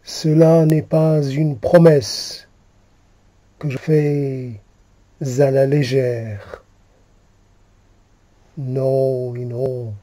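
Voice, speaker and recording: A man reciting poetry in a solemn, halting manner, repeating phrases as he goes. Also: medium pitch, level tone, warm tone, no room sound. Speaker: poet reciting own poem.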